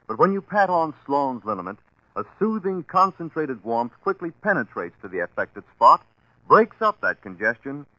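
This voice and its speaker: Lively, bright 1940s radio announcer man. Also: medium pitch, no echo, low-quality recording. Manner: emphatic, salesmanlike delivery. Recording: vintage 1940s radio broadcast.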